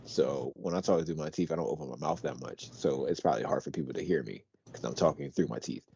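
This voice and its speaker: Deep-voiced, quiet-talking young man. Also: fast talker, gravelly, slightly rough, muffled recording. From Pennsylvania. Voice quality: deep, quiet, talks through his teeth. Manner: relaxed, rambling, swears casually. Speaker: podcast co-host. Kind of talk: casual chat.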